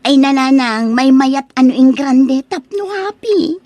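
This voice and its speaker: A woman's voice: high voice, expressive, measured pace, a little mellow, no echo. A woman playing a vain, money-minded young village bride-to-be in a comic radio drama, with an Ilocano Filipino accent. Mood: haughty. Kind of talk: comedic radio drama acting.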